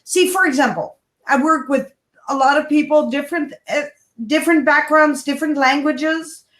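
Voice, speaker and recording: A woman with a high voice talks in an animated, coaching way, stressing her points and turning to address listeners directly. Her voice rings in a roomy, echoing space. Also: moderate pace. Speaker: guest, kindness speaker. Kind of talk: animated advice-giving interview talk.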